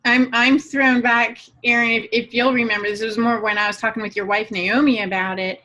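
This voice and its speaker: A woman's voice: a little high, fairly even intonation, fairly quick, sharp tone, muffled recording. A woman co-hosting a podcast, telling anecdotes casually and acting out quoted remarks as she goes. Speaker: podcast co-host and writer.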